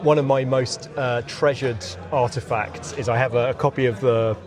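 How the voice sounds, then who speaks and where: Man, mid-pitched voice, casual game designer interview, outdoor courtyard, clear crowd chatter behind. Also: steady tone, moderate pace. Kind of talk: casual chat. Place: outdoors in a courtyard at a gaming event.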